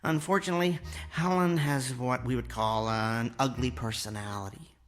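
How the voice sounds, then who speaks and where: An actor playing a meek, henpecked husband and amateur inventor in a radio drama, hesitant, mild and polite. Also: male voice, mid-range voice, steady tone, moderate pace, close to an everyday voice. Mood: wry.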